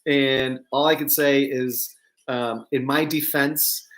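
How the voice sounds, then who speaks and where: A man's voice: medium pitch, fairly even intonation, slow, a bit bright. A man from New York with a mixed American accent, touches of New York and Southern, hosting a casual live news chat and rambling to viewers with frequent ums and asides. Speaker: host of a live news show.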